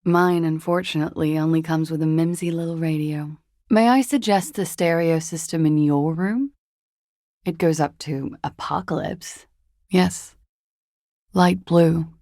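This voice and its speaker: A woman's voice: sits low, steady tone, measured pace, warm tone, no room sound, studio quality. An actress voicing a world-weary, guarded, seductive woman in a video game, with languid, hesitant, wry delivery. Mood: melancholic. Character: world-weary, guarded, seductive disco-dancer woman recounting a dead lover. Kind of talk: video game dialogue acting.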